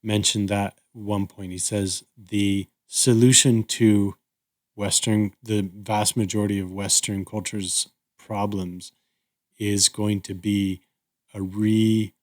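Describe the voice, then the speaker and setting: Low-pitched, measured male podcast host. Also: little pitch movement. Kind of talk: reflective discussion.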